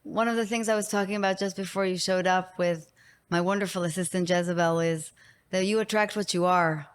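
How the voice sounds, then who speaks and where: Woman, Israeli accent, middle-aged, podcast host, effusive, low-ish voice. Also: steady tone, brisk, clean tone. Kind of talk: interview conversation.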